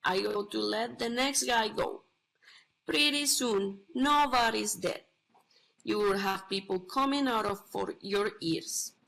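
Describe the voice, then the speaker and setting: A woman playing God as a blasé, boastful bathhouse attendant in a play reading, speaking in halting, self-satisfied phrases. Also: mid-range voice, vocal fry, fairly bright tone, slight background noise, rough recording. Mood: matter-of-fact.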